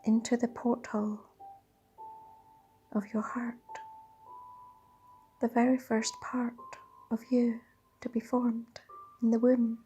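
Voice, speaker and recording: A woman leading a guided meditation in a dreamy, soothing, half-whispered voice with drawn-out pauses. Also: fairly high pitch, little pitch movement, highs cut off. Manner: breathy, half-whispered delivery. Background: soft sound bath music.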